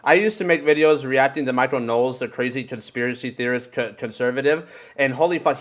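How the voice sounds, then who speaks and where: An American man hosting a podcast, chatting casually in an animated, slangy way with plenty of swearing. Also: mid-range voice. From United States. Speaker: podcast host. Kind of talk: casual banter.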